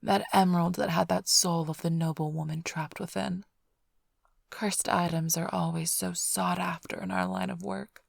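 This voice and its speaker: A woman playing a flirtatious, smug immortal vampire thief in an ASMR roleplay, teasing the listener in a breathy, half-whispered, languid drawl. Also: lowish voice, flat delivery, moderate pace, no room sound, studio quality, heavily acted. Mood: teasing, nostalgic. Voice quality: breathy, often sinking into whisper.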